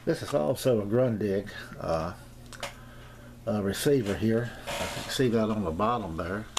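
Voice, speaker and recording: Halting older American man, slightly low voice. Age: 60s. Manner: halting, rambling, repetitive. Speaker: amateur radio hobbyist presenting his gear. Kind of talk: casual unscripted demonstration vlog. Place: small indoor radio room at home. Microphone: camera mic, tripod then handheld. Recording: roomy echo, background noise, camcorder.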